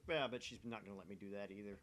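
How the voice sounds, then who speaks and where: A man's voice: fairly low pitch, rapid-fire, fairly bright tone, a little hiss or hum. A man hosting a let's play, reading visual novel narration aloud and chatting casually in a self-deprecating way.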